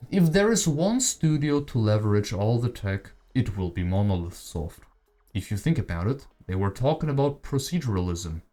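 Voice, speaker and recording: A man with a mellow, dark voice delivers video game commentary in a conversational way. He talks like an online creator with a wry streak, and soft music plays behind his voice-over. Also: on the low side. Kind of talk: video essay commentary on video games. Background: background music.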